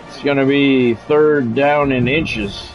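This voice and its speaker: A man's voice: medium pitch, steady tone, takes it slowly, soft background music, poor audio. A man streaming a football video game, mixing play-by-play commentary with casual, blunt, salty chat.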